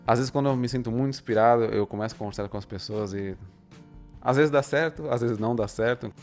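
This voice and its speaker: A Brazilian man as an interview guest, speaking slowly and thoughtfully with many pauses. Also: mid-range voice, darkish tone, faint music behind. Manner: thoughtful, deliberately slow, with pauses. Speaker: guest, polyglot. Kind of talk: casual interview.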